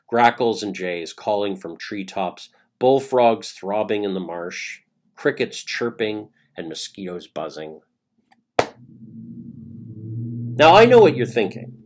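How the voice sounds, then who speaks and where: A middle-aged Canadian man performs an autobiographical monologue with a husky edge. His delivery swings widely in pitch as he builds suspense through theatrical phrasing and deliberate pauses. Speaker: playwright reading his own play. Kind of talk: dramatic autobiographical monologue, storytelling.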